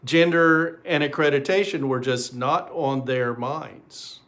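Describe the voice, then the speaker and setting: A man reading a children's story aloud in an expressive way, with deliberate pauses. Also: mid-range voice.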